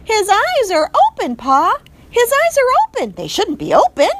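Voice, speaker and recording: A woman voicing an excited young boy mouse who calls out loud and alarmed. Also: extremely high pitch, normal speed, voice pushed up, exaggerated voice.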